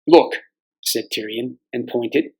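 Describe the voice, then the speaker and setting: A man's voice: on the high side, animated, normal speed, low-quality recording. A man reading a storybook aloud expressively, acting out the dialogue. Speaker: reader.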